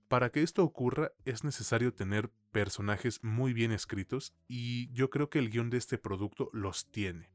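A Mexican-accented man with a fairly low voice, recorded dry and close, shares a film review as a podcast co-host. He speaks conversationally, in loose slang with the odd swear word. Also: no echo. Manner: informal, slangy, peppered with swearing.

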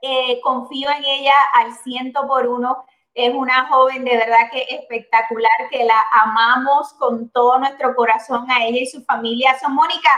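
A high, bright woman's voice hosting a live online chat. She talks animatedly and keeps dropping English words into her Spanish. The sound is roomy, with a clear echo around her. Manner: animated, mixes English into Spanish, greets viewers. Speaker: host of a live social media show. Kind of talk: casual live-stream chat.

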